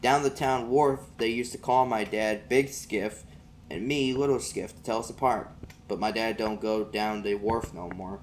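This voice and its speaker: A man's voice: lowish voice, steady tone, normal speed, slight background noise. A man reading an audiobook as an amateur, narrating in the first person in a folksy vernacular.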